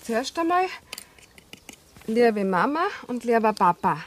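An actress playing a naive young country woman with a Bavarian accent in a radio drama, speaking haltingly and simply. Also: on the high side, big pitch swings. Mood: laborious, earnest. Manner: halting, spelling out words while writing. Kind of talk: 1970s German radio drama acting.

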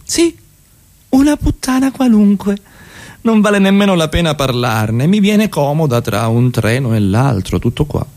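A man acting in a radio play as a suave, evasive middle-class husband who needles with halting, repeated, pointed questions. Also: a little high, big pitch swings, a little mellow. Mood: evasive, defiant.

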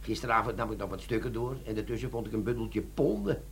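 An actor playing a wily, brazen army sergeant, a chummy smooth talker in a comic radio play. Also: male voice, fairly low pitch, fast, slight background noise. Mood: feigned innocence. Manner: cheeky, chummy, feigned innocence.

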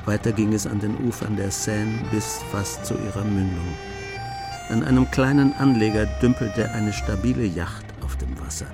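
A man narrating a German radio play as scripted storytelling, in a measured manner. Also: lowish voice, lively intonation. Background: music.

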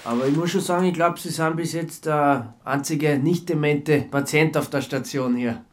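An actor playing an awkward male petty offender on community service in a radio drama, halting, with an Austrian accent. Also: a little high, natural voice. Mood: sheepish. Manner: hesitant, halting.

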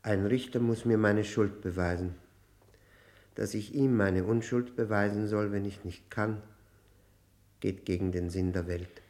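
Long-term prisoner, male actor, lowish voice, broken and bitter, halting speech that circles back, 1960s radio drama. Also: fairly even intonation, darkish tone, natural voice. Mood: bitter, defiant. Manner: halting, repeating words, brooding. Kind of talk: 1960 radio drama acting.

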